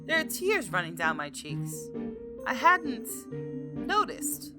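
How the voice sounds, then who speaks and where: A woman doing a let's play, reading a visual novel aloud in an expressive way and exclaiming as she reacts. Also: on the high side, slow pace, music in the background. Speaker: gaming video creator.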